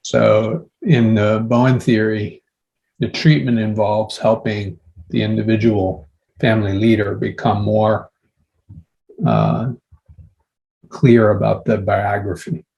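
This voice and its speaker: Male audience member, slightly deep voice, posing a considered question during an academic discussion. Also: gravelly, darkish tone, rough recording.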